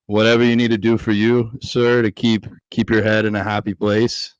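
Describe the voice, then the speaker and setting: A man hosting a podcast, asking questions in a soft-spoken, hesitant and earnest way. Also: on the low side, level tone, moderate pace, clean tone, no room sound, highs cut off. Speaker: podcast host. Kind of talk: casual interview questions.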